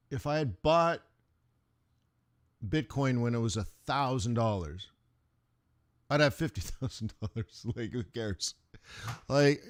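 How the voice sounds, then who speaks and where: A man's voice: medium pitch, a bit bright. A middle-aged man hosting a live trading stream, talking casually in rambling asides and laughing often. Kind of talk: casual rambling livestream talk.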